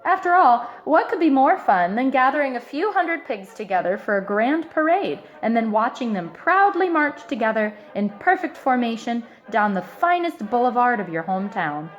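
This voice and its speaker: A woman reading a children's picture book aloud in an expressive, emphatic way, stretching out key words. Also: a little high, moderate pace, hardly any pauses, highs cut off, faint music behind. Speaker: storybook reader.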